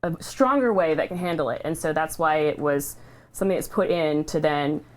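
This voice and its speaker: Woman, livestream host. Slightly low pitch, candid and heartfelt, feels her way through sentences haltingly. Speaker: charity livestream host sharing her cancer treatment story. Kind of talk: casual, heartfelt unscripted talk.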